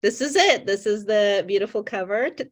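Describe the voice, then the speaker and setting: A middle-aged woman from Ukraine whose first language is Russian, reading her poems slowly and deliberately over a video call. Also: mid-range voice, animated. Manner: slow, deliberate reading with weighted pauses. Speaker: poet. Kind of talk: poetry reading. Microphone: video call microphone.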